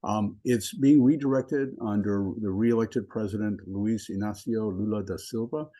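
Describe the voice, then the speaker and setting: Male law professor hosting a webinar, low mellow voice, measured with ums, video call. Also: fairly even intonation. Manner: measured, with frequent ums and restarts. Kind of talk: webinar introduction.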